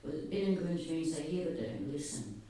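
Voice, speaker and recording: A soft-spoken man giving an earnest, halting public talk, often pausing. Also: fairly high pitch, very animated, a little hiss or hum. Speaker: speaker at a gathering. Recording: very echoey, distant, faint ambience.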